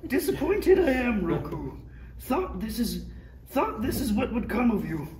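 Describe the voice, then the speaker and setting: A man plays an ancient, chilled-out alien sage in a parody read. The voice sits high and swings about, with slangy dude phrasing and backwards grammar. It is picked up from a distance in an echoing room. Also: huge pitch swings, measured pace, crowd noise, full character voice. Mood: laid-back. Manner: slangy dude talk mixed with inverted word order. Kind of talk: comedy table read. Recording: roomy, distant mic, faint noise.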